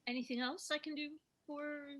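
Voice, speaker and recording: A woman's voice: high voice, fairly even intonation, normal speed, fairly bright tone. A woman playing a perky young diner waitress, folksy and easily flustered, in a screenplay table read. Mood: eager. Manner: folksy, chirpy.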